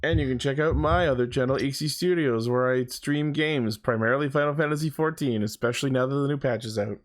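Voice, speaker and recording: A man's voice: medium pitch, fairly quick. A man hosting a podcast in a casual, conversational style, chatting with co-hosts. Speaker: podcast host. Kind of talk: casual podcast sign-off banter.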